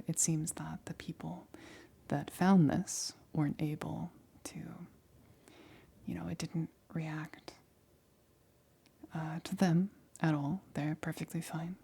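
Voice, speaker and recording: Woman playing a nervous lady scientist, asmr roleplay, super breathy whisper, low and kinda flat, halting and stammery. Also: exaggerated voice. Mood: uneasy, confiding. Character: nervous female scientist examining an alien specimen.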